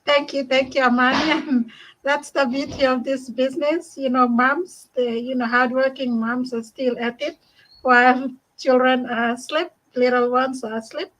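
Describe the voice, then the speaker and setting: A woman's voice: high voice, steady tone, normal speed, fairly bright tone, rough recording. A woman from Bougainville hosting a casual online community call from home, who laughs often and thanks each guest. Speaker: host.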